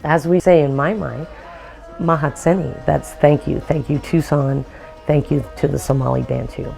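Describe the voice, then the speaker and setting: A woman's voice: sits low, fairly even intonation, moderate pace, vocal fry, over music. A woman volunteer organizer speaking an inviting appeal to the community. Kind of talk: appeal to camera.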